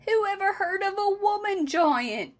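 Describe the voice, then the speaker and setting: A woman voicing a kindly, very old male giant in a storybook reading, booming and drawn out, mangling his words and grammar. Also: animated, measured pace. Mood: emphatic.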